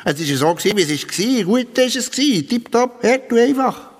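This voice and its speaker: A man with a Swiss German accent playing a grandiloquent literary speechmaker who declaims a mock-solemn eulogy with drawn-out emphasis. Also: very high voice, expressive, husky, full character voice. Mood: nostalgic, insistent. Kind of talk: theatrical satirical eulogy. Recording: long reverberant hall.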